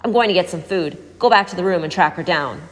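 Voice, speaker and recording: A woman's voice: fairly low pitch, fast talker, close to an everyday voice. Storybook heroine: worried, stubborn teen girl played by a woman narrator, insistent delivery, long reverb. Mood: defiant. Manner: pleading, insistent. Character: worried, defiant teenage girl searching for her sister. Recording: hall-like reverb.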